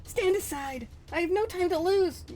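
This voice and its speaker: A woman's voice: very high voice, moderate pace, mellow. A woman playing a determined, imperious young superheroine in a comic-book drama, with solemn declamatory delivery. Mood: brisk, imperious. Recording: music behind the voice.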